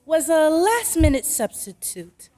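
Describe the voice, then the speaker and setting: A woman playing a brisk, practical, skeptical working mother in an old-time radio drama, dry and matter-of-fact. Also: high-pitched, very animated, warm tone. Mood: brisk, wry.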